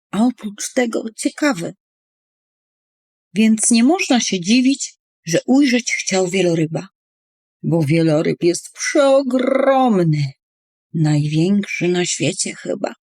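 A woman's voice: medium pitch, a little mellow, no room sound, studio quality. A woman storyteller reading a children's poem expressively, with playful, dramatic emphasis on words.

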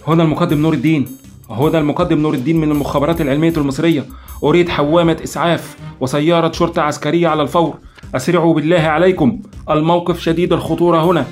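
A man's voice: mid-range voice, fast, faint music behind, close to an everyday voice. A man voicing a stern, driven intelligence officer who barks urgent orders. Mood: alarmed, urgent. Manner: urgent, commanding, shouting orders. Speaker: lead character in an audiobook.

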